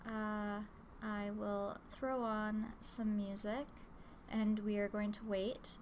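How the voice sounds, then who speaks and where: A woman's voice: mid-range voice, little pitch movement, takes it slowly, a little mellow, slight background noise. A woman with a cold, sounding a little nasal, chatting casually and rambling with fillers as she hosts a live drawing stream from home. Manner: easygoing, rambling, lots of filler words. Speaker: live-stream artist host. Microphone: open desk microphone.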